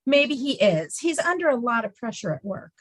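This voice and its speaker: A woman playing a high, lilting, coddling mom. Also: sing-song, normal speed. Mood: coaxing. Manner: sweetly coaxing, protective. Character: coddling, placating mother of a grown son. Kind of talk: comedy script table read.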